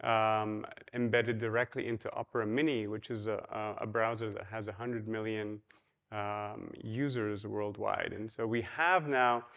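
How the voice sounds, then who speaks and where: A man's voice: fairly low pitch, steady tone, measured pace, fairly bright tone, lo-fi sound. A man from Poland giving a casual, chatty conference talk, with many ums and you-knows, and questions put to the audience. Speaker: conference speaker. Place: large hotel ballroom.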